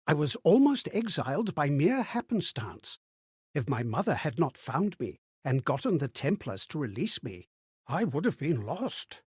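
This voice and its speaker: Game role: haunted, wondering man lost in memories; very lively intonation, breathy husk, theatrical delivery, dry close recording. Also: on the high side, no room sound, heavily acted. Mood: bitter, grave. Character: haunted, bewildered man recalling fragmented, strange memories. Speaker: video game voice actor. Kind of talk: dramatic game character monologue.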